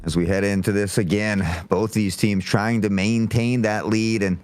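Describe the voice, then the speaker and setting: A man's voice: fairly low pitch, steady tone, normal speed, darkish tone, clear, resonant tone. A man giving fluent, wordy live analysis as an esports commentator, with playful turns of phrase. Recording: faint game noise and clicks.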